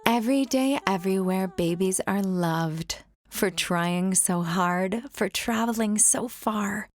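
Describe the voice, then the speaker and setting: A woman's voice: mid-range voice, no echo. Woman reading a rhyming picture book. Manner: gentle, sing-song storytime delivery. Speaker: children's book reader.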